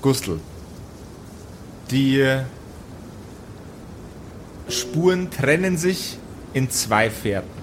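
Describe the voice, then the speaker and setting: A man narrating an improvised tabletop adventure as game master, with deliberate phrasing and dramatic pauses. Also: mid-range voice, expressive, slight background noise.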